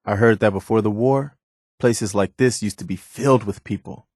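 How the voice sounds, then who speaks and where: A man's voice: on the low side, normal speed, a little mellow, no room sound, clean studio sound. An American man playing an earnest, principled militia soldier, a loyal and plain-spoken companion in a post-apocalyptic video game. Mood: serious, thoughtful.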